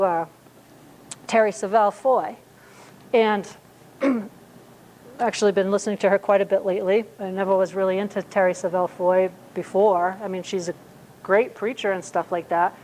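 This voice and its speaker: A woman's voice: mid-range voice, steady tone, normal speed, darkish tone, a little hiss or hum, rough recording. A woman in her fifties preaching a sermon in a conversational way, with many fillers and restarts. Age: 50s. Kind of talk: sermon at a church service.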